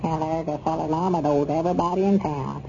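A man's voice: on the high side, steady tone, fairly quick, gravelly. An actor playing a gossipy, querulous older country man with a rural Southern accent and homespun talk in a 1930s radio comedy serial. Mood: grumbling. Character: grumbling, gossipy older country man, small-town theater partner. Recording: dull, noisy 1930s radio recording.

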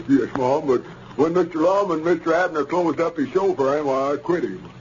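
An actor playing a simple, eager young country bumpkin in a 1930s radio comedy. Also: male voice, a little high, expressive, moderate pace, darkish tone, close to an everyday voice. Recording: noisy muffled 1930s radio recording.

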